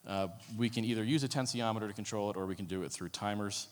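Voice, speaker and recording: A man with a low voice presents to a room, speaking casually and filling pauses with ums and false starts. His voice carries a long hall reverb. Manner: casual, many ums and restarts. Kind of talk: conference talk. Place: conference room.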